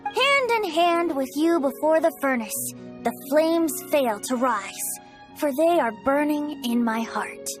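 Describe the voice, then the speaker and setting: A woman's voice: high-pitched, lively intonation, slow, soft background music. A woman voicing a carefree young male bard in a video game, with a playful, grandiose way of talking. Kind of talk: video game character acting.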